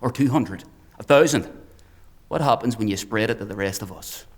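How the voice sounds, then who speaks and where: An actor playing a menacing, fanatical hunter in a dark fantasy audio drama, speaking in a deliberate, taunting, theatrical way. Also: male voice, on the low side, slight background noise, natural voice. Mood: cold menace. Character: menacing male fanatical hunter, coldly articulate, mocking.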